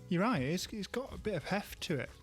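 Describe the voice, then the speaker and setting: A young man with a fairly high voice, chatting in a jokey, playful way. His nose sounds a bit blocked from hay fever. There is faint music behind him. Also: sing-song, brisk. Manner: playful, jokey, rhyming banter. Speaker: podcast co-host. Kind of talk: casual tasting chat.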